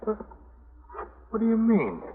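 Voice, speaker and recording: An actor playing a jittery, evasive small-time crook in a 1950s radio drama, stammering in rough street grammar. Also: male voice, sits high, wildly swooping pitch, unhurried, heavily acted. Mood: flustered, defensive. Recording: tinny, slightly noisy vintage radio recording.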